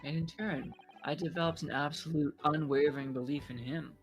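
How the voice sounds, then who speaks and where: A man voicing an earnest young defence lawyer in an amateur video game dub, with solemn, halting delivery. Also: a little high, steady tone, soft background music, natural voice. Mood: sincere.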